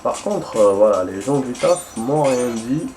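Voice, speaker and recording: A man's voice: mid-range voice, expressive, unhurried, over music, low-quality recording. Young man rambling over his gameplay. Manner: rambling, hesitant, many fillers and restarts. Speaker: gaming streamer. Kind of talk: casual chat while playing.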